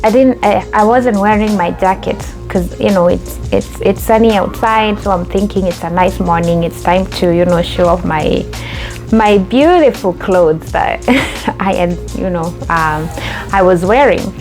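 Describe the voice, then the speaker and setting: A woman's voice: medium pitch, a little slow, darkish tone, soft background music. A young adult woman from Kenya hosting her own vlog, telling a story in a chatty way with lots of fillers and restarts. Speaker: YouTube host.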